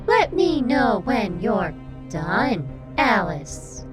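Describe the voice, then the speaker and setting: A woman playing a wary female companion in a scripted audio drama, with drawn-out delivery. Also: medium pitch, big pitch swings, over music.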